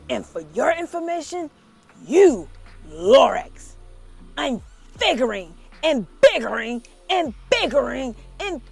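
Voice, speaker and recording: Casting: female reader for old male industrialist in a children's tale, heavy vocal fry, unhurried, theatrical, light music underneath. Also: high-pitched, voice pushed up, heavily acted. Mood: angry, defiant. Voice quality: creaky. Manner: dramatic, drawn-out storytelling. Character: regretful old male factory owner, greedy and defiant when angered. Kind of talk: children's storybook read-aloud.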